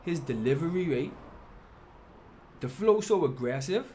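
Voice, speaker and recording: A man's voice: a little high, unhurried, a little hiss or hum. A man, chatting animatedly as a guest on a casual podcast. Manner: animated, laughs, slips into quoted voices. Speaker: guest battle rapper.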